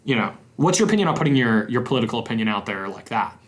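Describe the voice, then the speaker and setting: A young man in his twenties hosting a podcast interview, relaxed and hesitant, often repeating words. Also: medium pitch, racing. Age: 20s. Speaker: podcast host. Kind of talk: casual interview.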